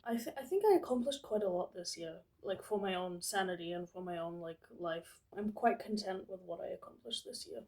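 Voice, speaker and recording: 22 y/o english girl vlogging, rambly, lots of "like" and pauses. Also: lowish voice, a little mellow. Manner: rambling, hesitant, many fillers. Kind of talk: casual chat to camera.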